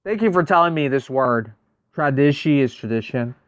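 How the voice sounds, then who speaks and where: A man's voice: mid-range voice, animated, moderate pace, dry recording. Casual male streamer, clean resonant voice, chatty gameplay talk, very dry sound. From United States. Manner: chatty, answers viewers' messages, thinks aloud. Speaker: livestream host. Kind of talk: casual livestream gameplay chat.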